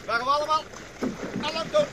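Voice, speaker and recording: An actor playing a brisk, hearty male survivor steering an escape boat in a Dutch radio drama. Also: extremely high pitch, wildly swooping pitch, heavily acted. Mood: earnest, strained. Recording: noisy old radio drama recording.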